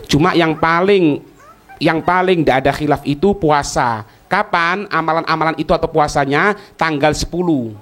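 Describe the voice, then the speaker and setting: Male religious teacher, Javanese accent, fairly high voice; informal, instructive Q&A delivery with rhetorical questions. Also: normal speed, a bit bright. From East Java, Indonesia. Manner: colloquial, didactic, asks rhetorical questions and checks understanding. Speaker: Islamic teacher answering listeners' questions. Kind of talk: religious lecture with question and answer.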